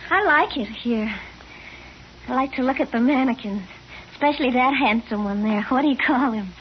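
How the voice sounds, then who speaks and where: A woman's voice: fairly high pitch, normal speed, natural voice. An actress in an old-time radio drama playing a lonely, broke young drifter girl, plaintive and a little hesitant. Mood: lonely, wistful. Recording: muffled, hissy vintage radio recording.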